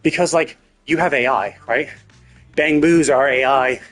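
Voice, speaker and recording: A man's voice: mid-range voice, a little slow, a bit bright. A man live-streaming a story game, giving casual commentary in a rambling, repetitive, emphatic way. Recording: game music behind the voice. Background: game music.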